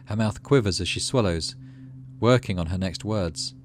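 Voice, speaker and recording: A man's voice: sits low, normal speed, slight background noise. A man hosting a game playthrough, reading story text aloud expressively and adding casual asides. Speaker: gaming channel host.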